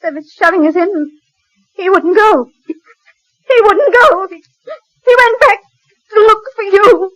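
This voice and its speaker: An actress playing an overwrought, emotional shipwreck survivor in a 1940s radio drama, halting and dramatic. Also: female voice, extremely high pitch, fairly bright tone, full character voice, poor audio. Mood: distraught.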